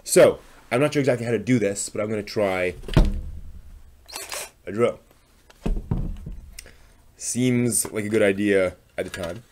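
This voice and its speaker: A man's voice: lowish voice, a little slow, pauses often, noisy background. A man talking casually through a hands-on demonstration on a live stream, tentative and thinking aloud, often trailing off. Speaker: host of a live craft stream.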